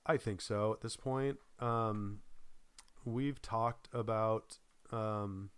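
A man with a lowish, smooth and resonant voice rambles through casual talk, full of ums, restarts and the odd swear. He is getting over a lost voice and coughs now and then. The sound is close and dry. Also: fairly bright tone, no room sound. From Boise, Idaho. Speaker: podcast co-host. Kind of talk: casual chat.

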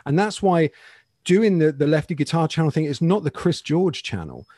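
A man's voice: fairly high pitch, moderate pace, no echo. Candid man in his forties, dry close sound. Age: 40s. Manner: relaxed, candid, loose and rambling with frequent "you know what I mean". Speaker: guest guitarist. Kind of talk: casual interview chat.

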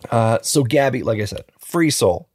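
A man co-hosting a true crime podcast, telling the story in a relaxed, joking way with lots of fillers. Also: mid-range voice, moderate pace, breathy edge, no echo, studio quality. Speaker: podcast co-host.

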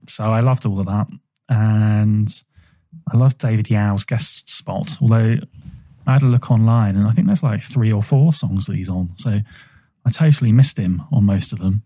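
A man co-hosting a podcast, giving a measured, thoughtful album review with frequent ums. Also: lowish voice, dark tone, dry recording. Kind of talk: casual review chat.

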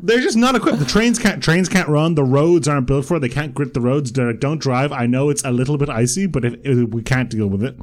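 A man's voice: medium pitch, a little fast, few pauses. A young man from Croatia co-hosting a casual podcast, rambling with lots of restarts and easy laughter. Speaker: podcast co-host. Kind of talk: casual chat.

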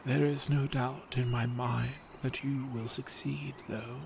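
A man voicing a humble old male god who is gentle, tearful and hoarse from a century of silence. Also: mid-range voice, level tone, unhurried, background noise.

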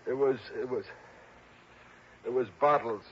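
A man's voice: mid-range voice, a little hiss or hum, lightly acted. An actor in an old radio drama playing a badly beaten informant, weak and dazed, stammering and repeating himself as he stubbornly tries to warn. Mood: weak, insistent. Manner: halting, stammering, repeats words. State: weak, groggy from a beating. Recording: dull old radio recording.

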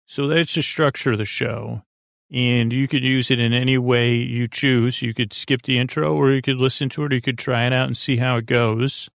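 Creaky, meandering man hosting a sleep podcast. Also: mid-range voice, fairly even intonation, normal speed, fairly bright tone, no room sound, clean studio sound. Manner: meandering, hesitant, stop-start; soothing, sleepy. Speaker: podcast host. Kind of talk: rambling bedtime podcast monologue.

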